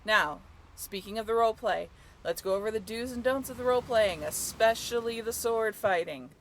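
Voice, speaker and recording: A woman's voice: a little high, normal speed, slight background noise, close to an everyday voice. A woman playing an upbeat young tour guide on a sailing ship in a scripted audio roleplay, with a chatty, playful way of talking. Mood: brisk, instructive. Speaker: role-play voyage guide.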